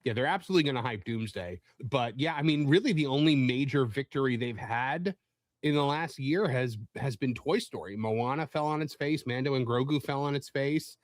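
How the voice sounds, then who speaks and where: A man speaking casually as a panelist and reporter, in a halting way that circles back and repeats phrases. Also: medium pitch, dry recording.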